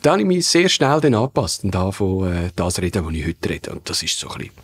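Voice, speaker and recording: A man's voice: low voice, sing-song, quick pace, slightly rough. A man in his fifties from Bern with a Swiss German accent, chatting as a podcast guest in a studio, telling stories with dry asides. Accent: Swiss German, Central Swiss mix. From Switzerland, canton of Bern. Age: 50s. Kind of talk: casual conversation. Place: dark podcast studio at a table. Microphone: studio desk mic on a boom arm.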